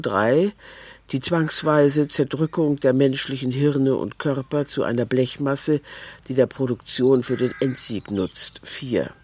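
Deliberate male reader over audible background noise. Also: mid-range voice, a little mellow.

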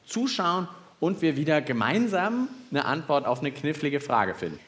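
A man's voice: a little high, sing-song, moderate pace, fairly bright tone. A man co-hosting a children's science podcast live on stage, bantering casually as the playfully clueless guesser.

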